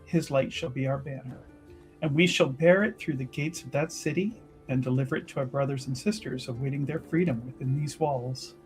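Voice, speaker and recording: A man's voice: medium pitch, brisk, soft background music, lightly acted, low-quality recording. A man voicing a devout, earnest female pilgrim in a tabletop fantasy game, speaking formally and reverently. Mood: devout, resolute.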